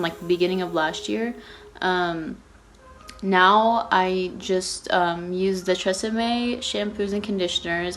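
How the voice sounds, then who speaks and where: A nineteen-year-old woman from Texas chatting casually in a Q&A vlog, rambling with lots of fillers and restarts. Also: lowish voice. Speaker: YouTuber.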